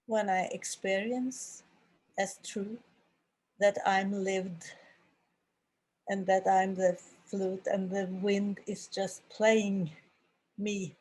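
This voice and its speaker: A woman's voice: mid-range voice, level tone, very slow, pauses often, a little mellow, poor audio. Hesitant Norwegian woman searching for words. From Norway. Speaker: participant in a group call.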